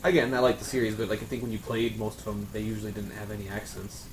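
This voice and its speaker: Fast-talking man in a noisy room. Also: fairly low pitch, little pitch movement. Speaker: panelist on a friends' discussion show. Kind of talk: casual round table chat. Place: room with a group of friends.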